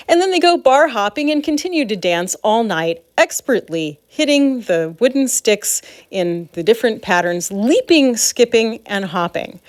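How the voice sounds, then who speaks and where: A woman's voice: mid-range voice, no room sound. Earnest woman, closely recorded, dry sound. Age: adult. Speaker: speaker presenting own ideas. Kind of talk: informal monologue, advocacy talk.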